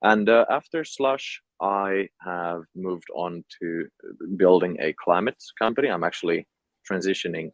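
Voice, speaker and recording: Deep-voiced young Finnish man, dry close sound. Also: unhurried, no room sound. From Finland. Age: young adult. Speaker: entrepreneur interview guest. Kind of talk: casual interview talk.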